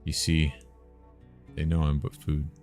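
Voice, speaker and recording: A man's voice: very deep voice, completely flat delivery, a little slow, darkish tone, soft background music. A man voicing a playful, sly woman spellcaster of the wilds, with old-fashioned rustic phrasing, in a story game read-aloud. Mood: candid, wary.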